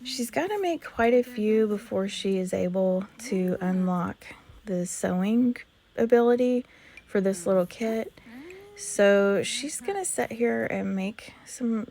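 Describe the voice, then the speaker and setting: A woman with a hoarse voice calmly narrating a life-simulation game as host of a let's play. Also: medium pitch. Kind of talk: gameplay narration.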